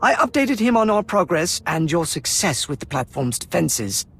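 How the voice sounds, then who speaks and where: A man's voice: a little high, big pitch swings, normal speed, creaky, a bit bright, husky, heavily acted. A man playing a stern, officious military colonel in a video game cutscene, with formal, clipped delivery. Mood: measured. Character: brisk, officious male Imperial colonel, dutiful and stern. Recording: music behind the voice.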